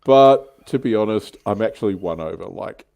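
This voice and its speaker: A man's voice: lowish voice, expressive, moderate pace, gravelly, a little mellow. A man hosting a livestream, chatting casually and jokingly about tabletop wargaming. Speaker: livestream host.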